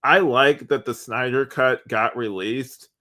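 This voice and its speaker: A man's voice: medium pitch, measured pace, sharp tone. A man chatting casually as a podcast guest, giving opinions in a plain-spoken way. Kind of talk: casual film discussion.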